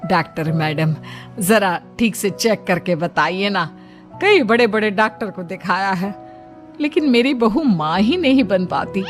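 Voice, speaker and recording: A woman's voice: medium pitch, lively intonation, unhurried, soft background music, lightly acted. A woman voicing a domineering, insistent older mother-in-law in a dramatic story. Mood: worried, pleading.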